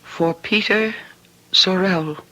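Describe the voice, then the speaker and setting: An actress playing a troubled young woman confessing a dark deed in an old radio drama, dramatic, anguished and halting. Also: mid-range voice, rough recording. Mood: hesitant.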